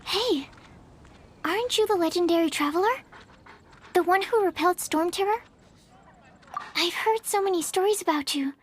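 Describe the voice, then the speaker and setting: A high, shy alchemy assistant. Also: female voice, normal speed, long pauses, slight background noise. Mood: timid. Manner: timid, apologetic, polite. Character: shy, nervous young female alchemy assistant. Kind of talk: video game cutscene dialogue. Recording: game cutscene with music behind. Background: game music.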